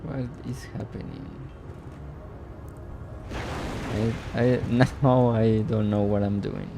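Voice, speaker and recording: A man's voice: fairly low pitch, fairly even intonation, takes it slowly, gravelly, music in the background. A man streaming a city-building game live, speaking English as a second language, thinking aloud with puzzled exclamations and greeting chat viewers. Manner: non-native English speaker. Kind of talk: live gameplay commentary.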